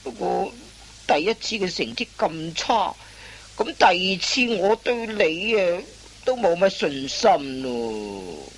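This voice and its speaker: A woman's voice: fairly low pitch, big pitch swings, slow pace, slight background noise, full character voice, low-quality recording. A woman playing a sly, boastful old veteran thief in a radio comedy, with a theatrical, sighing drawl. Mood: lecturing, scheming. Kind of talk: radio comedy drama acting.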